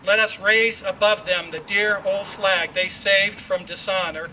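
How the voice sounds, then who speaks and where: A man's voice: high voice, fairly even intonation, measured pace, bright, forceful tone. A man reading a formal proclamation aloud in a solemn, oratorical manner. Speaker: ceremonial reader. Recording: distant mic, faint background noise.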